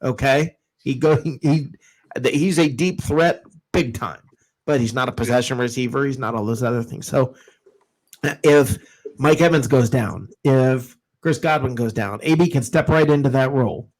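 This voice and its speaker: Male sports talk host, mid-pitched, informal and blunt, punchy emphasis in loose live chat. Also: moderate pace, a little mellow, rough recording.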